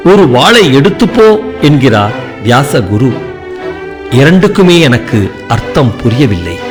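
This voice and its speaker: Guy narrating an audio play, lively voice, music playing clearly behind. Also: medium pitch, measured pace.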